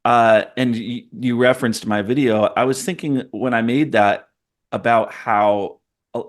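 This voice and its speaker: A man's voice: mid-range voice, fairly even intonation, a little slow. Thoughtful, rambling man hosting a podcast. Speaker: podcast host. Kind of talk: casual conversation.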